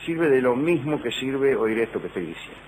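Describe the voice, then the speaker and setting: A man's voice: mid-range voice, big pitch swings, fairly quick, noisy background. A middle-aged man with a Rioplatense Spanish accent, hosting a radio show as a humorous lecture with dry, digressive asides. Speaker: radio host.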